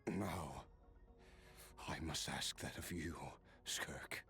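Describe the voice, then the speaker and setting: A whispering cursed immortal, very deep. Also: male voice, creaky, soft background music, full character voice. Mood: weary. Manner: slow hushed whispering speech. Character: cursed immortal warrior, weary and in pain. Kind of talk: video game voice acting.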